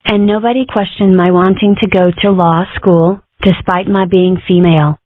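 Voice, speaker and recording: A woman's voice: lowish voice, steady tone, normal speed, dry recording, close to an everyday voice. A woman voicing an earnest, opinionated animal character in a comic dub, speaking in declarations. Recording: dry, phone-like narrow sound.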